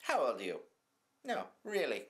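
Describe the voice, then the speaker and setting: A man's voice: medium pitch, huge pitch swings, normal speed, fairly bright tone, pitched above the performer's own voice. A man voicing a flamboyant, rude male celebrity interviewer who asks abrupt, mocking questions. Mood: deadpan. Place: small studio with curtain backdrop.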